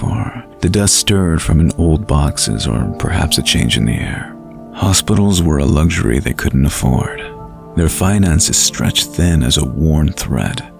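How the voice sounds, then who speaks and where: A man narrating a scripted fantasy bedtime story in a measured, atmospheric way. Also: sits low, gravelly. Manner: measured, literary, atmospheric delivery. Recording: light music behind the voice.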